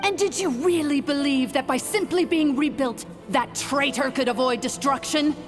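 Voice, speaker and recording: A woman's voice: high voice, moderate pace, close to an everyday voice. A woman playing an arrogant, cruel, ancient dragon ruler in a video game, haughty, grandiose and contemptuous. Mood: scornful, grandiose. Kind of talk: video game villain acting. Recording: long reverb, music behind.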